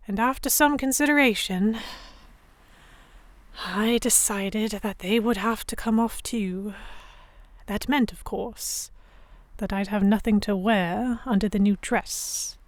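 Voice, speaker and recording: A woman playing a prim, anxious yet defiant young Victorian noblewoman, narrating a historical romance audio drama in a formal, expressive way. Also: mid-range voice, dry recording, lightly acted.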